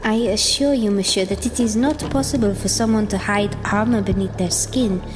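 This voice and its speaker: A woman's voice: medium pitch, moderate pace, natural voice. An actress playing a deferential, rational female physician in a period audio drama, polite and placating in manner. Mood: calm reassurance. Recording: music under the voice.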